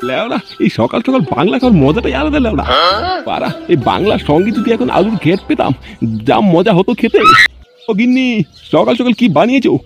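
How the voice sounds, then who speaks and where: Very high male voice, rapid, crude blustering drunkard husband acted by a man, comedy cartoon, music behind. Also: very animated, vocal fry, full character voice. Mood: cheerful, demanding. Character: boastful, crude husband who loves his liquor. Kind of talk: amateur comedy cartoon voice acting.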